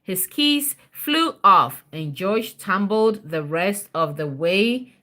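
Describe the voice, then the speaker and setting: A woman's voice: medium pitch, lively intonation, slow pace, pushed and bright. A mother reading a children's storybook aloud on a livestream, chatting with viewers and encouraging her child with prompts and questions.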